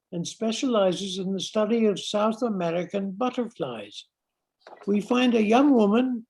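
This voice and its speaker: A man's voice: high voice, darkish tone, breathy edge. An older man reading scripted narration for an amateur radio play. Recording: noisy amateur recording.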